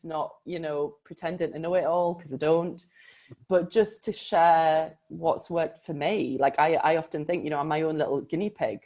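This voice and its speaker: A woman, a life coach talking in an expressive, chatty way in a casual conversation. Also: deep voice. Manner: expressive, chatty, verbal processor. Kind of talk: casual chat.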